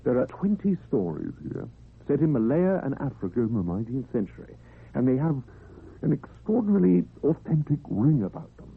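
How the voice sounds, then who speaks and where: Husky, gravelly earnest doctor. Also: male voice, mid-range voice, big pitch swings, brisk, exaggerated voice. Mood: earnest, intrigued. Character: earnest, thoughtful male doctor, a married man. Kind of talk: radio drama acting. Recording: narrow, muffled old radio recording.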